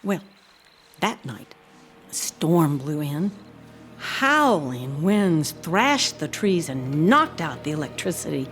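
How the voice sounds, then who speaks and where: Haunted, low-voiced housekeeper over music. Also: female voice, sing-song, slow, fairly bright tone, exaggerated voice. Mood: haunted, grave. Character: earnest, proud housekeeper, haunted survivor telling her tale. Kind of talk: dramatic audio-drama storytelling.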